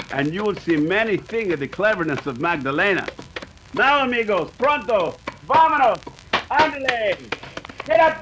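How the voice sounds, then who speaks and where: An actor playing a cunning, boastful Mexican bandit leader in an old-time radio western, speaking broken English sprinkled with Spanish. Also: male voice, a little high, fairly bright tone, a little hiss or hum, close to an everyday voice, rough recording. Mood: smug, then commanding.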